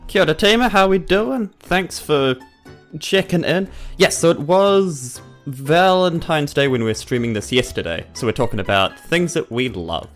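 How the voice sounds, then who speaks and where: A man's voice: fairly high pitch, expressive, moderate pace, faint music behind. A man with a New Zealand accent chatting casually as a player on a game podcast. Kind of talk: casual chat.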